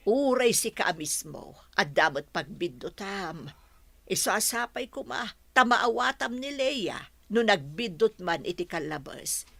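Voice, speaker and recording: Woman doing older mom in a radio drama, protective, animated, bright high voice, very dry sound. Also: unhurried, gravelly, no echo, full character voice. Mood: pleading. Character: older mother, protective and pleading for her daughter. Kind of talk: dramatic audio drama acting.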